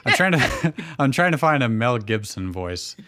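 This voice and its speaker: A man's voice: mid-range voice, big pitch swings, moderate pace, bright, forceful tone, a little hiss or hum. A man reading fan fiction aloud as a show host, often breaking off to joke and comment in casual banter. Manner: joking, breaks off to comment on the text.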